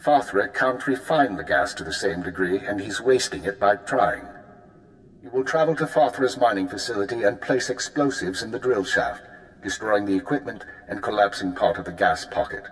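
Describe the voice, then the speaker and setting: A calm, slightly low male spymaster, voiced by a man in a game cut-scene, delivering orders in a formal, clipped tone. Faint ambience sits under his voice. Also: moderate pace, slight background noise, lightly acted, rough recording. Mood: briefing. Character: cool, precise male intelligence handler. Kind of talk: video game voice acting.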